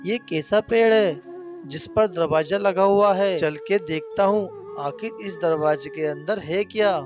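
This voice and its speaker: A man's voice: high-pitched, unhurried. A man voicing a curious, kindly young woodcutter in a storybook tale, wondering and earnest. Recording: music behind the voice.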